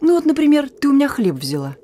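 An actress playing a plucky young partisan courier in a radio drama, speaking in a familiar, teasing, warm-hearted way. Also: female voice, high voice, sing-song, fast talker. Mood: exasperated, teasing. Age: young adult.

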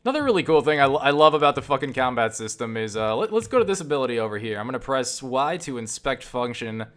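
A man giving casual, unscripted video game commentary, enthusiastic and sweary, often halting and repeating words as he rambles. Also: medium pitch, sing-song, fairly bright tone. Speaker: video game reviewer and player.

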